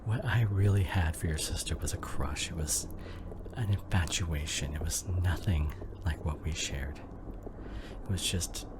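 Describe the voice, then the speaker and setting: A man's voice: deep voice, a little slow. A man acting a devoted, worried husband in an emotional ASMR drama, speaking intimately and breathily, often half-whispering. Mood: confessional, sorrowful. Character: devoted, worried husband comforting his injured wife.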